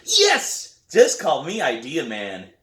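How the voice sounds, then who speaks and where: Man acting a little boy, high-pitched, loud, insistent, playful, storybook character, roomy sound. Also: wildly swooping pitch, slow, heavily acted. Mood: eager. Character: pestering, excitable little brother, young boy.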